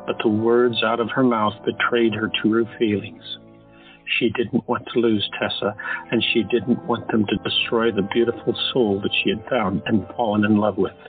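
A man narrating an audio drama in a steady storytelling manner. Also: on the low side, normal speed. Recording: tinny phone-like sound, faint music.

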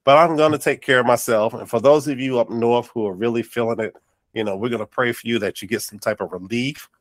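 A mid-pitched man with a drawl hosts a chat podcast, his voice nasal and stuffed-up. He talks in an affirming, encouraging way, recorded dry and close to the mic. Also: fairly even intonation, fast talker, no echo. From North Carolina. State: nasal and congested. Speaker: podcast host. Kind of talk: casual interview chat.

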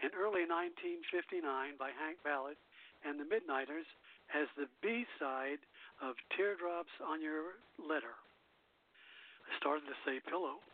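Man hosting over a tinny phone line. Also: on the high side. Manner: halting, repeats words and restarts while reading. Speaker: host of an internet radio show. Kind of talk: casual read-aloud radio hosting. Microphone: call-in phone line. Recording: phone-like lo-fi line with faint music.

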